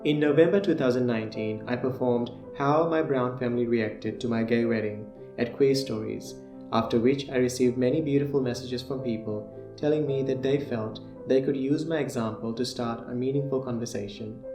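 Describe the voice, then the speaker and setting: A man's voice: medium pitch, fairly even intonation, moderate pace, echoey room, soft background music. A man from Fiji earnestly reading a scripted self-introduction as a podcast co-host.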